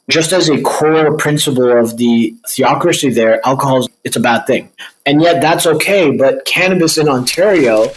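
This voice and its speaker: A man's voice: medium pitch, husky, poor audio. A man talking casually as an interview guest and business owner. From Egypt, by birth.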